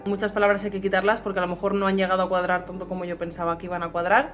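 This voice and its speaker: A woman's voice: mid-range voice, a little hiss or hum. A woman with a Castilian Spanish accent, a dubbing director giving an unscripted behind-the-scenes account. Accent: Castilian Spanish from Spain. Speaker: fandub director and voice actress.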